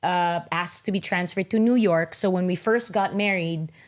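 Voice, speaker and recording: A woman's voice: on the low side, normal speed. A middle-aged woman from the Philippines, a singer and actress, chatting as a guest in an interview with many fillers and restarts. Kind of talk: casual interview conversation.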